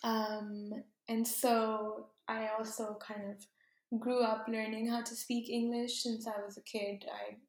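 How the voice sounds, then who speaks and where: A woman's voice: a little high, level tone, slow pace. A young woman from Pakistan, a native Urdu speaker, talking casually about her home with many ums and likes and light laughs. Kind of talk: casual explanation, personal talk. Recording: echoey room.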